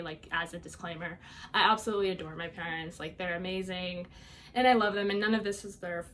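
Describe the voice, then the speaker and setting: A young woman shares her life story as a podcast guest, talking casually with many fillers and light laughs. Her voice sits a little low, and a faint ambient hum runs underneath. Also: a bit bright. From Iowa, United States. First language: Albanian. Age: young adult. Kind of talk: personal storytelling.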